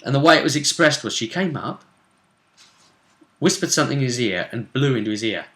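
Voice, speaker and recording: Guy hosting a tabletop rpg recap, bright clear-cut voice, casual chat. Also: medium pitch, lively intonation, brisk, echoey room. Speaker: host of a tabletop role-play recap show.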